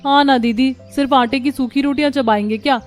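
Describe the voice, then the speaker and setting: A woman acts a young village daughter-in-law in a cartoon story. The voice is fairly high, chatty and expressive, over soft background music. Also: a little fast, close to an everyday voice. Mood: complaining. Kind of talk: Hindi cartoon story dub.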